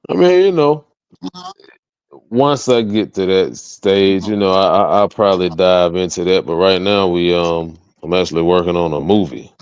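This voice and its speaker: A man's voice: low-pitched, normal speed, darkish tone, smooth. An American man chatting casually as an interview guest, slangy and peppering his talk with "you know" and "man". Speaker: interview guest, music artist and entrepreneur. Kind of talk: casual chat. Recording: dull lo-fi audio, roomy echo.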